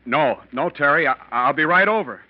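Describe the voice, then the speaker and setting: An actor playing an uneasy, guilty husband in an old radio mystery, speaking nervously and haltingly with stammers. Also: male voice, on the high side, animated, lightly acted. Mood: nervous, guarded. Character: uneasy husband, a murderer hiding his guilt. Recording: muffled, noisy old radio recording.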